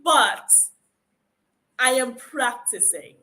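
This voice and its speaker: Nigerian woman lecturer, high bright voice, encouraging and emphatic phonics teacher. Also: lively intonation, extremely slow. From Akwa Ibom, Nigeria. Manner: encouraging, emphatic, repeats key points. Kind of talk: conference lecture.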